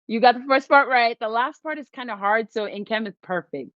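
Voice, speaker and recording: A woman's voice: fairly high pitch, moderate pace, no room sound, dull audio. A woman from Nigeria, a physician, speaking earnestly and reflectively as a podcast guest. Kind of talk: podcast interview.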